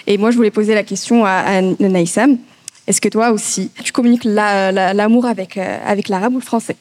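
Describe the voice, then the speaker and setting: Arabic native woman chatting on a panel, mid pitch, hesitant, hunting for words. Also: fairly even intonation, fairly quick, a little mellow. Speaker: panel participant. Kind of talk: casual discussion.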